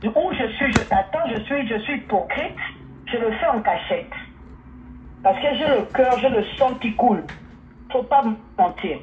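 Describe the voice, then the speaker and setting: A woman's voice: on the high side, expressive, normal speed, slight background noise. Emphatic woman on a tinny phone line. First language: Portuguese. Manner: emphatic, repeats herself, exclaims hallelujah. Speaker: caller. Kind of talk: opinionated phone-in talk.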